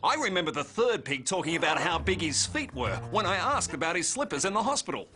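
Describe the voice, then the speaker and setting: Very animated, cocky young cartoon cop. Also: female voice, on the low side, fast talker, faint music behind. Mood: excited, triumphant. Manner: excitable, cocky. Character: brash, impulsive, cocky young cartoon police detective. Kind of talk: cartoon voice acting.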